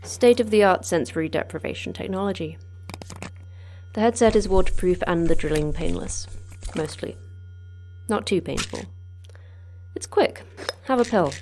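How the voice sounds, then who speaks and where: An actress playing the terse, deadpan manager of a secret research facility in an audio drama. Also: female voice, on the low side, moderate pace, pauses often, a little hiss or hum. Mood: deadpan, wry. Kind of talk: audio fiction acting.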